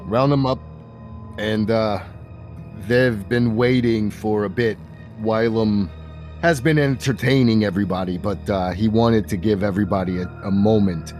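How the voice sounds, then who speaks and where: A man's voice: fairly low pitch, moderate pace, darkish tone. A man improvising narration and dialogue as game master of a tabletop role-playing game, with music behind him.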